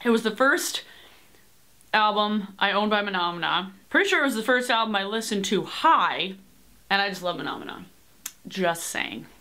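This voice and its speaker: A woman's voice: medium pitch, animated, moderate pace, sharp tone. A young woman rambling casually in a bedroom vlog, full of "like" and "um" and casual swearing, recorded on a webcam. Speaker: vlogger. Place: small bedroom, indoors. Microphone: webcam at desk distance.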